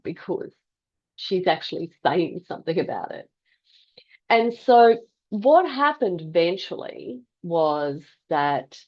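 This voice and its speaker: A middle-aged woman tells a personal story in a reflective, deliberate way with dramatic pauses. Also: low voice, gravelly, darkish tone, breathy edge, highs cut off. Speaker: health vlogger telling a personal story.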